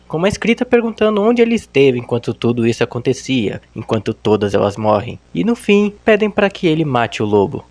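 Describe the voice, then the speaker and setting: A man with a Brazilian accent hosting a video, giving casual storytelling commentary. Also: mid-range voice. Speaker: video host.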